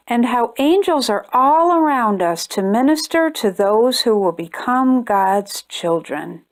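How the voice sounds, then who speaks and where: A woman hosting a children's storytime, reading a picture book aloud with expressive, sing-song storytelling. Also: fairly high pitch. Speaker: storytime host.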